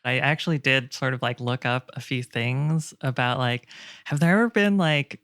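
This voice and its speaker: A man in a casual discussion, speaking in a hesitant, thoughtful way with halting phrasing. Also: mid-range voice, vocal fry, a bit bright, clear, resonant tone, dry recording, studio quality.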